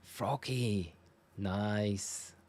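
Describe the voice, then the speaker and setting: Low-voiced northern English man, chatty streamer. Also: very slow, a little mellow. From northeast England. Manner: chatty, thanking and addressing viewers informally. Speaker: live streamer. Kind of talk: casual livestream chat while gaming.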